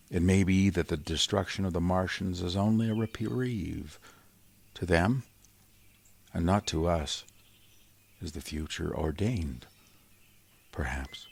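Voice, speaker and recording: A man playing a sombre, educated survivor in an old-time radio drama, speaking in a solemn, measured, literary way. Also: sits low, gravelly, husky, no room sound, heavily acted. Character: sombre, educated male survivor recounting a Martian invasion.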